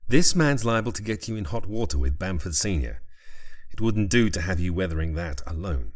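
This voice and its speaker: An actor playing a shrewd, protective priest in an audio drama, formal and dryly pointed. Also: male voice, sits low, big pitch swings, brisk. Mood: wry, protective. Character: shrewd, protective priest, loyal old friend.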